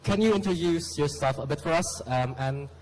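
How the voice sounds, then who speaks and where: A man's voice: on the high side, normal speed, a little mellow, low-quality recording. A teenage boy co-hosting a school talk show, upbeat and rehearsed, with fillers when he asks questions. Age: teens. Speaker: student host. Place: school hall.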